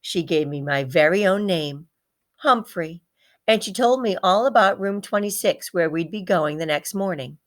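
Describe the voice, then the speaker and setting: A woman's voice: fairly low pitch, normal speed, lightly acted. A woman voicing a small, proud, heartbroken male pet hamster in a dramatic children's storybook read-aloud, repeating words for emphasis. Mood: proud, pleased.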